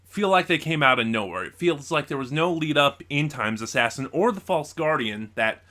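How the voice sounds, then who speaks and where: Bright, forceful-voiced man reviewing casually. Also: fairly high pitch, lively intonation, moderate pace. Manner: conversational, opinionated. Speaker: video reviewer and channel host. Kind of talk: casual opinion review to camera.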